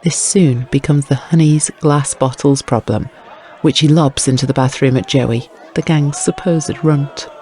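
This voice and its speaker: A man's voice: fairly high pitch, steady tone, a little slow, warm tone, slight background noise. A man narrating scripted crime fiction as an audiobook, with wry, literary storytelling.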